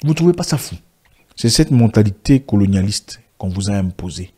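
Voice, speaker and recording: A man's voice: fairly low pitch, animated, brisk, warm tone, husky. A man whose first language is an African language, lecturing viewers emphatically in an online commentary and often repeating himself. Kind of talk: direct-to-camera commentary.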